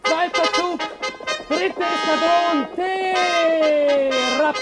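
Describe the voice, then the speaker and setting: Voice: very high voice, steady tone, extremely slow, over loud music, full character voice. An actor playing a cavalry officer calling out drill commands in a radio drama. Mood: commanding.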